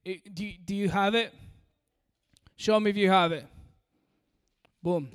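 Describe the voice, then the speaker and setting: Preacher: man from Peru with an American-sounding accent, high voice, hall reverb. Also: steady tone, measured pace, clear, resonant tone. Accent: American-sounding English. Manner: direct and coaxing, addresses the audience. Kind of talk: sermon.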